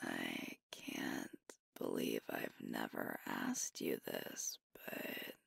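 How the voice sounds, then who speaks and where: A woman playing an affectionate, teasing werewolf girlfriend in an ASMR roleplay, speaking softly and intimately close to the microphone. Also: extremely low pitch, no room sound, exaggerated voice. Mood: tender, grateful. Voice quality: soft, breathy, whispery. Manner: slow, tender, playful, with pauses.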